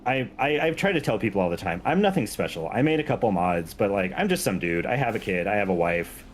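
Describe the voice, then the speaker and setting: A man with a fairly low voice talks in a casual, earnest way, as a guest on a gaming stream would. He is informal and plays himself down. There is faint noise in the background of the recording. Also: big pitch swings, fast talker. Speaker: guest, game mod creator.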